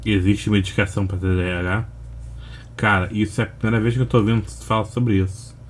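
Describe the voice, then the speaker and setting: A man's voice: lowish voice, flat delivery, fairly quick, faint music behind. A Brazilian man giving casual, off-the-cuff commentary as a reaction host, informal and slangy.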